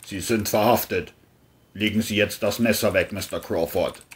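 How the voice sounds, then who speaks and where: A stern male sheriff from a crime audio play, acted by a man, low in pitch. He is formal and insistent, giving commands over faint noise and clicks. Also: fairly even intonation, moderate pace, lightly acted. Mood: commanding.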